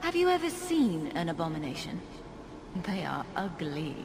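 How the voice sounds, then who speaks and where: A woman's voice: low voice, very animated, normal speed, noisy background. An actress playing a witty, self-assured mage adventurer in a video game, teasing and dryly playful in her delivery. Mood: scornful. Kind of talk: dramatic video game acting. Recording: long reverb, music behind.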